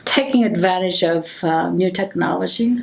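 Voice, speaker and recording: A middle-aged woman, an accountant co-hosting a casual podcast, chatting curiously with animated exclamations and many fillers. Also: on the low side, moderate pace, gravelly. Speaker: accountant, co-host. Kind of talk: casual conversational podcast chat.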